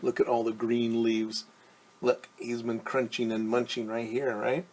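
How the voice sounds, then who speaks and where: A man with a slightly husky, mid-pitched voice reads aloud like a teacher at storytime. He takes his time, putting questions to young listeners and explaining in simple terms. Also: flat delivery, a little slow, darkish tone. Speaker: teacher reading a storybook to children.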